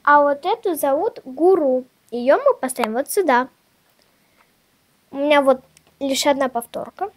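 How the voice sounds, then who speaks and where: High female voice, casual unboxing chat, halting step-by-step delivery, toy channel host. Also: animated.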